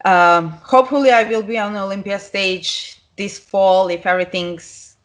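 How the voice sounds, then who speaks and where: A woman's voice: medium pitch, fairly even intonation, normal speed. A woman from Serbia with a Serbian accent, a podcast guest speaking English as a second language with some non-native grammar. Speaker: guest, pro figure competitor. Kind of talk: casual interview talk.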